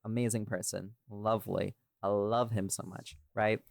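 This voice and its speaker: Male podcast co-host, lower register, dry sound, informal talk, repeats phrases for emphasis. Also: little pitch movement, normal speed, gravelly, dry recording. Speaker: audio series creator and podcast co-host. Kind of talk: casual chatty behind-the-scenes discussion.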